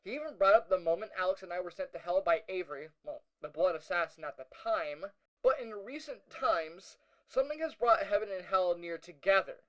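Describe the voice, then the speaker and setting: A young male hero , acted by a man, records his audio log in a high-pitched voice. He talks conversationally and a little hesitantly, as if thinking it through. His storytelling is uneasy, with dry, wry asides in the manner of an audio drama. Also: lively intonation, a little fast, a bit bright, low-quality recording. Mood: explanatory, serious. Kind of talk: first-person audio log narration, conversational.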